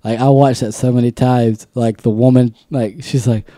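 Chatty mellow-voiced man in his twenties. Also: medium pitch, steady tone, normal speed, no room sound, studio quality. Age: 20s. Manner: chatty, laughs often, acts out quotes. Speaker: podcast co-host. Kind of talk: casual chat.

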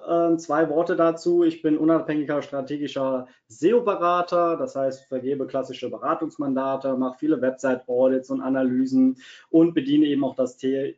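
A man's voice: mid-range voice, darkish tone. A man from Cologne with a slight Cologne tinge, giving a live webinar as a consultant, chatty with many fillers and repeated words. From Germany, Cologne. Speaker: webinar presenter, SEO consultant. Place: home office. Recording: muffled lo-fi webinar audio.

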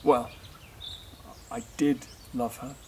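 A man's voice: medium pitch, fairly even intonation, takes it slowly, breathy edge. A man playing a sad, disillusioned husband in an audio drama, with a plaintive, confiding manner. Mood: melancholy. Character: melancholy, disillusioned brother and husband. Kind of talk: naturalistic audio drama acting. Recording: faint outdoor ambient noise. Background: birdsong, outdoor ambience.

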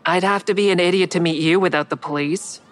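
A woman's voice: fairly low pitch, quick pace, lightly acted. An actress playing a guarded, sardonic woman in an audio drama, dry and wry in dialogue and first-person narration. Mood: defiant. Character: guarded, sardonic woman, crisis counselor with secret kills.

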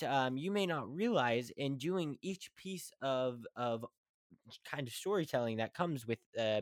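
A young man hosting a podcast, talking casually about acting and monologues, fast and often stumbling and restarting his sentences. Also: on the high side, no echo, clean studio sound. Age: young adult. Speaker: podcast host.

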